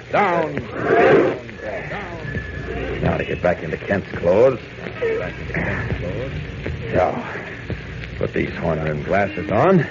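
An actor playing a confident superhero who poses as a meek reporter in a 1940s-style radio serial, often talking his actions through aloud. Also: low voice. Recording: noisy muffled old radio broadcast.

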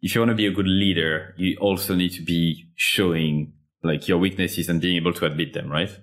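Deep-voiced French-accented male podcast host. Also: fairly even intonation, a little fast, a bit bright. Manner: thoughtful, guides the conversation. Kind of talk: podcast interview.

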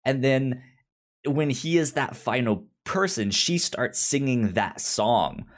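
A podcast co-host chatting casually about a show, haltingly, often repeating starts while searching for words. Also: mid-range voice, animated. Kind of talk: casual chat recapping a TV show.

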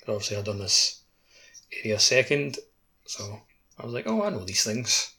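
A man's voice: fairly low pitch, sing-song, moderate pace, bright, forceful tone, poor audio. A man chatting casually as a co-host on a video game podcast.

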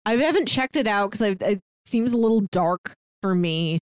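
A woman's voice: mid-range voice, animated, moderate pace, smooth, no room sound, studio quality. A woman co-hosting a podcast, chatting enthusiastically and recounting plots in a casual way. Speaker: podcast co-host. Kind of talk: casual chat.

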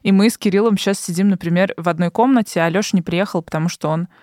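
A woman's voice: fairly low pitch, fast talker, a little mellow, dry recording, studio quality. A woman in her twenties hosting a podcast in casual, bantering conversation. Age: 20s. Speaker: podcast host. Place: indoor room.

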